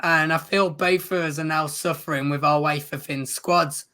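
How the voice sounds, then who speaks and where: A man who has lost his voice, chatting casually as a football show co-host in an agreeable, measured way. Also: a little high, steady tone, sharp tone. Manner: measured, agreeable, uses fillers like "um" and "you know". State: losing his voice.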